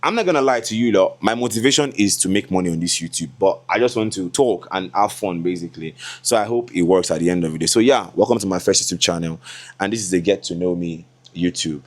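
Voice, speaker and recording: A young Nigerian man in his twenties chats to his viewers in a loose, laughing vlog voice. His tone sits a little low for a man. Now and then he slips into Yoruba asides. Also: quick pace. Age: 20s. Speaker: content creator. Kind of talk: casual vlog talk to camera.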